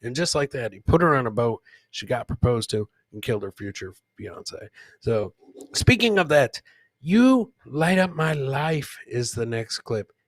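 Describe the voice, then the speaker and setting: A man's voice: mid-range voice, animated, unhurried, no echo. A man hosting a comedy show, chatting casually and ramblingly with joking asides as he comments on clips.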